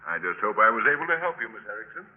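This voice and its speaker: An actor playing an affable, helpful college professor, genial and courteous, in a 1950s radio drama. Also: male voice, fairly low pitch, very animated, rapid-fire. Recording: muffled, noisy 1950s radio recording.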